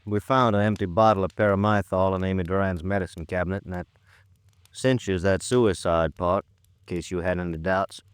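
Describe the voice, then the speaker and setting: An American man playing a blunt, folksy police lieutenant who lectures gruffly, in old-time radio drama style. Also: sits low, steady tone, moderate pace, no echo, close to an everyday voice. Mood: stern, scolding. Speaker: supporting actor.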